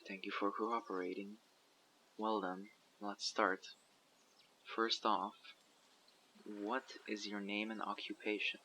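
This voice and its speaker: A man voicing a guarded survivor leader who recruits people for a community, asking measured, probing questions in an audio roleplay. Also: on the low side, flat delivery, bright, forceful tone, slight background noise, heavily acted, low-quality recording. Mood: calm, probing. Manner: measured, probing, matter-of-fact. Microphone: USB condenser microphone.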